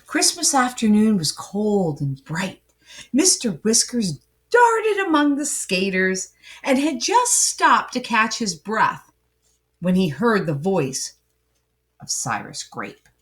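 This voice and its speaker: A woman narrates a children's storybook. Her delivery is slow and dramatic, with deliberate pauses and a very animated, wide-ranging pitch over a slightly varied, middle-register voice. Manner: dramatic, emphatic phrasing with pauses. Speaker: storybook reader. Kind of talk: expressive children's story narration, with asides to listeners.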